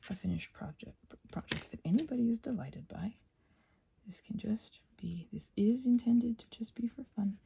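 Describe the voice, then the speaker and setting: A woman rambling casually and effusively in a soft ASMR style, often slipping into whispers as she thinks aloud while crafting. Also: mid-range voice, gravelly, breathy edge.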